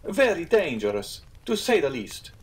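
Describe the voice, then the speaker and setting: A man's voice: a little high, big pitch swings, moderate pace, pitched above the performer's own voice. A man voicing a proud, easily piqued Italian innkeeper in a storybook, earnest and emphatic. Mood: alarmed, insistent.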